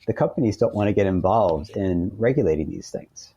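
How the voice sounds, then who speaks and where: A man with a low, mellow voice talks as a guest in an interview. He explains his points one by one in an informal, conversational way, and the sound is dry and close. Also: expressive, a little fast, husky, dry recording, dull audio. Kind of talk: casual explanatory interview talk.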